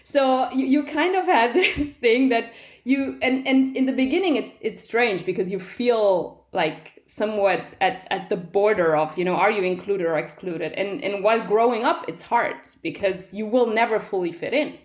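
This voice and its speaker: A woman's voice: medium pitch, normal speed. Reflective woman in an echoey room. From Italy. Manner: reflective, frequent restarts and quoted inner thoughts. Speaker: guest, medical professor. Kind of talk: conversational interview.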